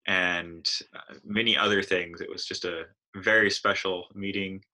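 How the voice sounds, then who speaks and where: A man's voice: low-pitched, steady tone, unhurried, low-quality recording. A man hosting an interview, speaking hesitantly with many "uh"s as he introduces his guest. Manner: warm, hesitant, fills gaps with "uh". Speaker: podcast host. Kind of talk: casual interview.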